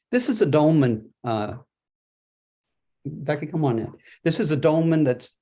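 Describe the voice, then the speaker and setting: A man at a poetry reading, acting as the presenting poet. He has a medium-pitched voice and moves between conversational asides and read verse. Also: mellow. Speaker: poet presenting his book. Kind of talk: poetry reading with informal introductions.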